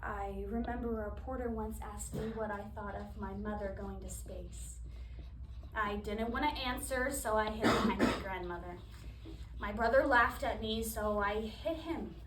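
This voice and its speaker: A woman performing a wistful, grieving daughter in a dramatic monologue, speaking in a subdued, confessional, halting way. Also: mid-range voice, close to an everyday voice. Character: wistful woman recalling childhood grief for her astronaut mother. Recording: echoey room, distant mic, background noise.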